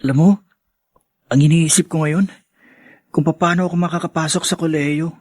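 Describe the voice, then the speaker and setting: A man's voice: a little high, fairly even intonation, normal speed, darkish tone, dry recording, lightly acted. An actor playing an earnest, hesitant teenage boy from a poor family in a Filipino radio drama, with a Filipino accent. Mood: earnest, weary. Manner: hesitant, respectful.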